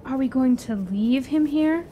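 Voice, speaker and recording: An actress playing an anxious, questioning traveler in a fantasy radio drama, with formal, earnest phrasing. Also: female voice, high-pitched, measured pace, muffled recording, slight background noise. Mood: dismayed.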